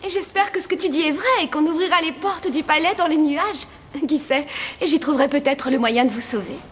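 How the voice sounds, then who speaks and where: Woman voicing a very high-pitched young princess. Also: a little fast, lightly acted. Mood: wondering, hopeful. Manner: wide-eyed, exclaiming, earnest. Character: gentle young princess, earnest, devoted little sister. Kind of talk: French animated fairy tale dub.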